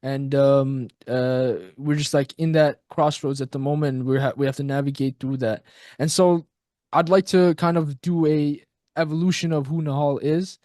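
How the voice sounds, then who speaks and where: A young man hosting a podcast, asking measured, reflective questions in casual interview talk. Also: a little high, fairly even intonation, a little mellow, dry recording, clean studio sound. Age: young adult. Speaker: podcast host.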